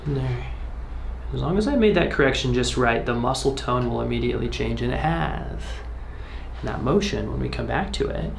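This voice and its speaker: A man's voice: mid-range voice, expressive, moderate pace, vocal fry. A man working as a chiropractor, giving instructive, reassuring explanations and directions to a patient as he works. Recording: roomy echo, faint background noise.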